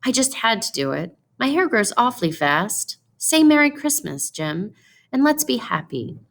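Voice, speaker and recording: A woman acts out a young wife from a storybook, girlish and affectionate. Her pitch lifts well up as she pleads and exclaims eagerly, with some creak in the voice. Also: big pitch swings, moderate pace, a bit bright, exaggerated voice. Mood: pleading. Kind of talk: storybook read-aloud character voice.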